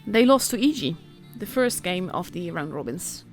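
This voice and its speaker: A woman's voice: lowish voice, lively intonation, moderate pace. Dutch-accented woman commentating over music. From Netherlands. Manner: chatty, informal, reacting as play unfolds. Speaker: esports commentator and stream host. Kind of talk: casual live game commentary.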